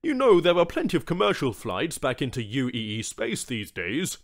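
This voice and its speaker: A man's voice: medium pitch, huge pitch swings, moderate pace, voice pushed up. A man voicing an eager, rambling, sycophantic corporate employee in an audiobook story. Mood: ingratiating. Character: chatty, sycophantic male office suit.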